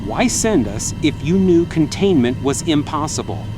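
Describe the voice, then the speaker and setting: A man playing a weary, bitter field soldier reporting over the radio in tense, halting phrases. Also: a little high, big pitch swings, music in the background. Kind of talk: dramatic radio-transmission acting. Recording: clearly noisy radio transmission.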